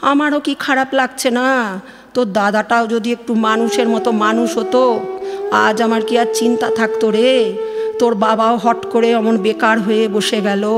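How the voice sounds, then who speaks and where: A woman's voice: on the high side, in a large hall, lightly acted. A woman voicing a worried, complaining elderly village mother in a colloquial, lamenting audio drama style. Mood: weary, resigned. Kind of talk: audio drama recitation of a phone dialogue. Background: music.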